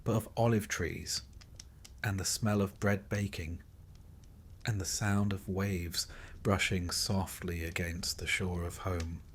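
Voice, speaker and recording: A man narrating a scripted sleep story as a storyteller, in measured, literary phrasing with short dramatic pauses. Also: sits low, slight background noise.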